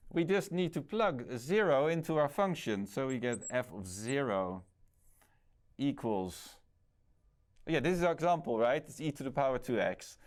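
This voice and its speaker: A man with a Dutch accent, teaching a math lesson as a lecturer who thinks aloud and corrects himself with many fillers. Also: mid-range voice. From Netherlands. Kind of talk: explaining at a board.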